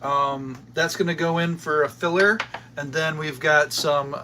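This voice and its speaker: A man's voice: a little high, fairly even intonation, unhurried, noisy background. A man co-hosting a home cooking stream, laid-back with a dry, teasing manner. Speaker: co-host, cook. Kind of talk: casual cooking chat. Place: home kitchen.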